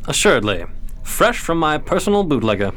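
An actor playing a suave, dapper 1920s gentleman gambler, polished and courteous, in a radio crime drama. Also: on the high side, very animated, fairly quick. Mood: solicitous.

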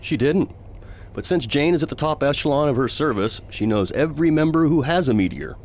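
A man playing a calm, reasonable patrol chief in a science fiction radio drama, composed and patient. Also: fairly high pitch, brisk, slight background noise, natural voice. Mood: calm, assured.

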